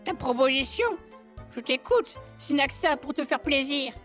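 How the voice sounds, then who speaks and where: A cranky old matriarch speaks in a very high, piercing register. She is bossy, haughty and quick to scold, delivered as theatrical French audio drama over a light musical bed. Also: fairly bright tone, soft background music. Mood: haggling, impatient.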